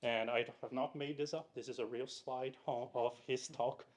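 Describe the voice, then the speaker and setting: Brazilian-born guy doing a casual tech talk, mid pitch, lots of "you know" and restarts. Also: steady tone, normal speed. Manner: chatty, with frequent fillers and restarts, and asks the audience questions. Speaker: conference speaker. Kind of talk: casual technical talk to a live audience. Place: meetup room in front of an audience.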